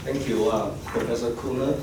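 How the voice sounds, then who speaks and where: A man giving a conference keynote in a soft, courteous, formal way, stuffy from allergies. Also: medium pitch, fairly even intonation, moderate pace, vocal fry. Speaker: keynote speaker, geographer. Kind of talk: academic conference talk. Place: conference room. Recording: very echoey room, distant mic, noisy.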